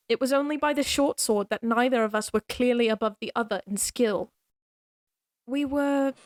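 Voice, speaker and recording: A woman's voice: on the high side, no room sound, natural voice. A woman playing a proud, teasing young lady knight in a fantasy audio roleplay, with formal, old-fashioned, dryly haughty phrasing. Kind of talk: fantasy audio roleplay acting addressed to the listener.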